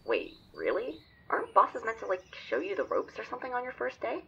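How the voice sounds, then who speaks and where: An actor playing a worried, nagging young friend, rough-voiced and familiar, on a dull band-limited call. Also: medium pitch, huge pitch swings, fairly quick, bright, forceful tone, full character voice. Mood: concerned. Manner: fretful, familiar. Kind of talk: audio drama acting.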